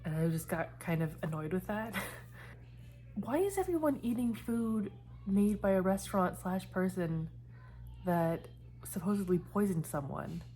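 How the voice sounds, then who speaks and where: A woman's voice: medium pitch, animated, unhurried, mellow. A woman in her thirties chatting casually in a book vlog, meandering and trailing off as she talks. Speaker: book vlogger. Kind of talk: casual chat.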